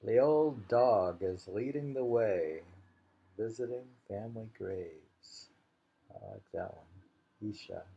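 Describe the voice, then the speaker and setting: A man's voice: lowish voice, slow, gravelly, warm tone, breathy edge, muffled recording. A man reading haiku aloud, repeating lines and musing on them in casual commentary. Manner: musing, repeats each poem. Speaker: reader and host of a poetry reading.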